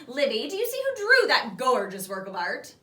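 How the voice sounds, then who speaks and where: Woman as gushing storybook grandmother, high lively pitch, brisk, proud, echoey distant recording. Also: exaggerated voice. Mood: firm, celebratory.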